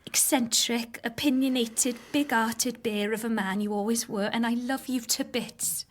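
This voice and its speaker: An actress playing a warm, earnest young pregnant doctor's wife in a naturalistic radio drama, with a breathy voice. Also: female voice, a little high, fairly even intonation, a little slow. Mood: affectionate. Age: young adult.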